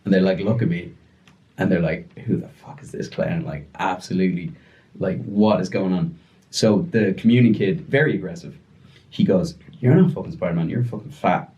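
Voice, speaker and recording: A young man telling a story casually on a podcast, animated and breaking into voices as he retells it. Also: sits low, moderate pace, lo-fi sound. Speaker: podcast guest.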